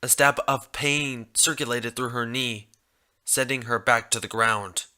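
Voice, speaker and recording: Bright-voiced man narrating a story. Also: medium pitch, fairly even intonation, a little slow, smooth. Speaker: fan fiction reader.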